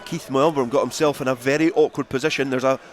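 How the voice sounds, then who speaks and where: A man calls a rugby match live for radio. He is the play-by-play commentator, working outdoors at the ground with a mid-pitched voice. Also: steady tone, quick pace, some chatter behind.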